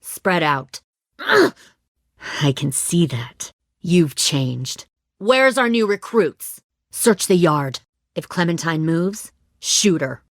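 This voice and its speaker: Menacing woman survivor leader, deep female voice, cold, deliberate, coercive, zombie game drama, dry studio recording. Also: very animated, no echo, heavily acted. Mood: commanding, threatening. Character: hardened, menacing woman leader of apocalypse raiders. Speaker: video game voice actress. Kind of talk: dramatic video game acting.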